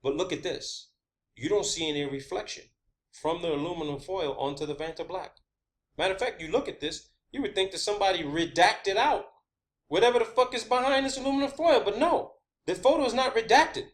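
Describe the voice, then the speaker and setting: A man's voice: high-pitched, brisk. A man presenting an unscripted online monologue, insistent and emphatic, swearing often and repeating himself to drive points home. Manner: rhetorical questions and repetition for emphasis. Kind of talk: unscripted conspiracy theory monologue.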